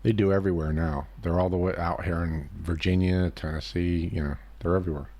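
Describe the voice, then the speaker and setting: A man's voice: sits low, steady tone, fairly quick, a little mellow, dry recording. A man hosting a casual voice-chat discussion, pressing the others with questions and cutting in to get at the point. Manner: probing, interrupts to press for answers. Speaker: host. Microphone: computer mic in a voice chat.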